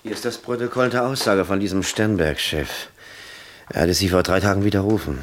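A male actor's seasoned police lieutenant for a vintage radio play. He speaks low and bluntly, with the sardonic weariness of a cop who has heard it all. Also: fairly quick, natural voice. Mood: businesslike. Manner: world-weary, sardonic. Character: jaded, blunt police lieutenant, twelve years in service. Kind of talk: German radio play acting.

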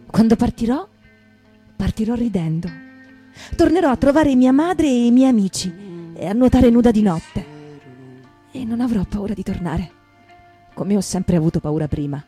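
A woman giving a lyrical, deliberate dramatic reading of diary-like prose, as a stage performer. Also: a little high, big pitch swings, mellow. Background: plucked guitar music.

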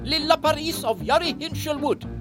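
A woman narrator reading a scripted recap for a radio drama episode. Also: sits high. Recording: loud theme music under voice. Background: music.

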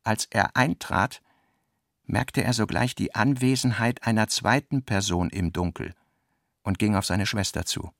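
Gravelly man reading literary prose. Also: fairly low pitch, a little slow, a bit bright, dry recording, clean studio sound. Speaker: literary narrator and reader. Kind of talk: scripted literary narration for a radio play.

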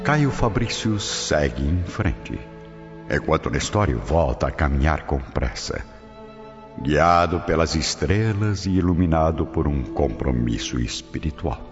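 A man's voice: a bass voice, animated, unhurried, over music. A man with a Brazilian accent narrating a scripted radio drama as a solemn storyteller. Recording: dull studio track with long reverb.

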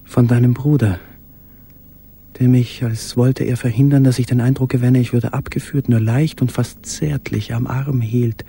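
An actor playing a confined, brooding, tender man who recites letters in a radio drama, hesitant and dreamy, with a breathy half-whisper. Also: medium pitch, fairly even intonation, moderate pace, warm tone, slight background noise, natural voice. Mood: searching.

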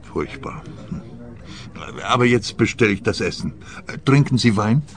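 Radio-drama client, vain older man, lowish voice, genteel, measured, evasive. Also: expressive, soft background music. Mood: worried, explaining. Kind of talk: radio play dialogue.

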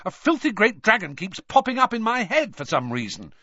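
A man's voice: sits high, sing-song, normal speed, bright, forceful tone, no room sound, muffled recording, voice pushed up, exaggerated voice. A man voicing an anxious, hot-tempered teenage boy wizard in an audiobook, defensive and urgent. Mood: exasperated.

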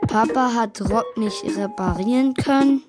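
A lonely, bored child acted by a woman in a children's radio play. The speech is slow and unhurried, with music clearly heard behind it. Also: a little high, lightly acted. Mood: reading aloud.

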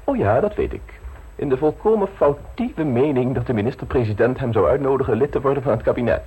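An actor playing a suave, ambitious gentleman politician in an old radio play, polished, self-assured and teasingly frank. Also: mid-range voice, animated, a little fast, husky, rough recording. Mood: assured, scheming.

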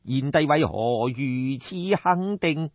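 Man acting ancient male scholar, Cantonese accent, grave, formal, very slow, folk tale character, muffled recording. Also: medium pitch, lively intonation, dry recording, pitched below the performer's own voice. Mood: doubtful. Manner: slow, drawn-out, formal classical phrasing.

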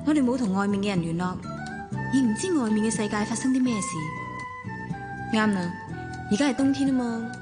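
A woman's voice: fairly high pitch, expressive, fairly quick, long pauses, music in the background, close to an everyday voice. A woman acting a well-off, spoiled woman in love in a Cantonese radio drama, with a Hong Kong accent, teasing and coquettish or reflective as she narrates. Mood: contented, reflective.

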